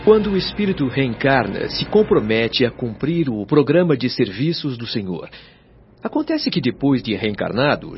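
An actor with a Brazilian accent playing a patient, learned spirit guide in a radio drama, speaking formally and instructively. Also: male voice, medium pitch, a little hiss or hum. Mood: grave, admonishing. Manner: solemn, articulate, instructive, formal diction. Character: male spirit guide Lísias, patient, earnest, learned teacher. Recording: dull lo-fi sound, faint music behind.

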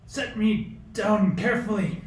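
A man's voice: sits high, a little slow. An actor playing a sick, suspicious old tyrant king in a radio play, snappish and imperious. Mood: irritable, accusing. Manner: imperious, snappish, paranoid accusations. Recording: roomy, distant mic, faint noise.

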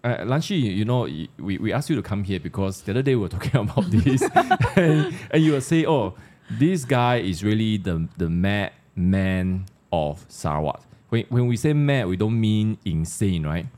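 A man's voice: fairly low pitch, sing-song, normal speed, a little mellow. A man with a Malaysian accent hosting a casual panel discussion, conversational and putting questions to his co-panelists. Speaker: discussion host.

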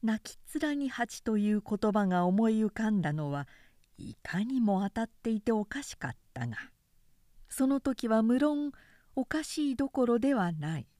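A woman reading a literary period story aloud as narrator, in a measured, expressive storytelling manner. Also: on the high side, dry recording, clean studio sound. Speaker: narrator, reciter.

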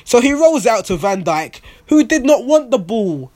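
Hoarse, raspy young man. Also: very high-pitched, moderate pace, no echo. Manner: excitable, blunt, swears freely. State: hoarse, voice nearly gone. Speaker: football fan vlogger. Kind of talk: casual post-match reaction monologue.

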